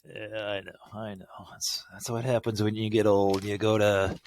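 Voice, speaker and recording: Low-pitched middle-aged man, casual and grumbly. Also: flat delivery, moderate pace, a little mellow, dry recording. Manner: goofy, grumbling, mildly profane. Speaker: unboxing video host. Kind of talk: casual rambling product unboxing talk.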